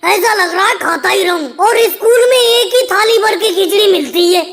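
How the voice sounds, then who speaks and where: A very high, bright cartoon voice acted out by a performer as a squabbling little man. He bickers and whines emphatically, like a character in a comedy animation. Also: moderate pace. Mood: pleading, bickering. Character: pitched-up cartoon caricature of a squabbling male politician schoolboy or labourer. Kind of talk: comic cartoon dialogue in Hindi.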